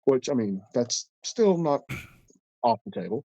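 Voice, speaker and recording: A man's voice: mid-range voice, very animated, takes it slowly, mellow, dry recording. A man co-hosting a casual podcast, stuffed up and congested, telling a long story in a rambling, profane way with many restarts. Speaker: podcast co-host.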